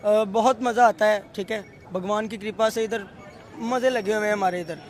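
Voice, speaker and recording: A man from New Delhi with a very high voice answers a street interviewer eagerly and at length. Faint crowd chatter fills the market around him. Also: fairly even intonation, normal speed. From New Delhi, India. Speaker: interviewee, visiting tourist. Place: busy market street outdoors.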